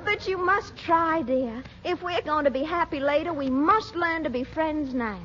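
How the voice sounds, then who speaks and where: An actress playing a coy, genteel lady who gently scolds and coaxes her suitor in 1940s radio comedy style. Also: high-pitched, normal speed. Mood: coaxing, breezy. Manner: coquettish, prim, coaxing. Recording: muffled, noisy 1940s radio recording.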